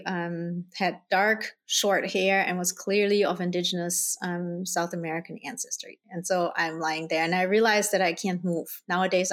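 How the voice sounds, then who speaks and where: Middle-aged woman telling a personal story. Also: medium pitch. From Germany. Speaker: guest, spirit guide channeler.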